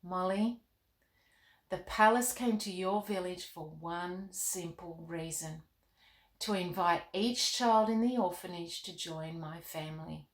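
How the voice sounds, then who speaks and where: A woman voicing a kindly, fatherly king with a soft, solemn manner in a storybook read-aloud. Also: on the low side, voice pushed down, lightly acted. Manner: soft-spoken, gentle, solemn. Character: gentle, kindly fatherly king in a fairy tale. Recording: roomy, echoey room.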